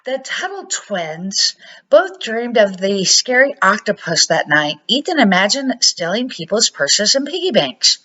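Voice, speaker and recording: A woman's voice: mid-range voice, big pitch swings, unhurried, pushed and bright. An older woman reading a children's storybook aloud as an amateur narrator. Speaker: amateur storybook reader, a grandmother. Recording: dull lo-fi home recording.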